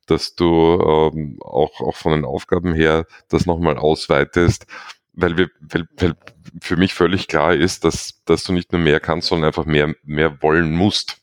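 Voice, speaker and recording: A man's voice: rumbling low, steady tone, unhurried, clean tone, no echo, studio quality. A man hosting a company podcast, talking casually with frequent repeated words. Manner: stammering repetitions. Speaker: podcast host, company manager. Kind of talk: podcast conversation.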